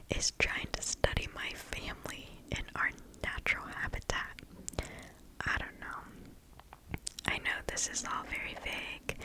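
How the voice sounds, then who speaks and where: A woman hosting ASMR, reading and chatting in a soft, breathy, unvoiced whisper very close to the microphone. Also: poor audio. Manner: soft, slow, unhurried. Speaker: ASMR video host.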